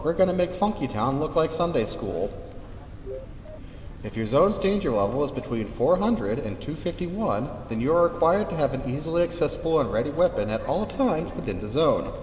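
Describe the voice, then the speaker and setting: A man's voice: fairly high pitch, a little mellow. A man playing a matter-of-fact, dryly wry official who formally and methodically reads out safety regulations in a radio drama. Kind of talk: scripted instructional narration. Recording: echoey reverberant sound, faint noise.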